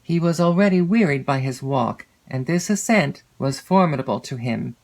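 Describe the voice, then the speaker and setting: A woman's voice: deep voice, animated, normal speed, darkish tone. Woman narrating a classic novel. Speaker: audiobook narrator.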